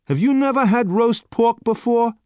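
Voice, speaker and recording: A man voicing a gruff, impatient male restaurant waiter who answers bluntly and loudly. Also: high-pitched, sing-song, moderate pace, no room sound, studio quality, voice pushed up. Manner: blunt, curt, loud.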